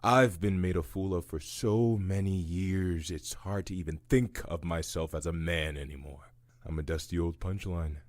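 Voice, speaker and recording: An actor playing a bitter, world-weary old male prisoner in an audio drama, grim and sardonic in measured speech. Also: low-pitched, steady tone, a little mellow, no room sound, close to an everyday voice. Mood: bitter, resigned.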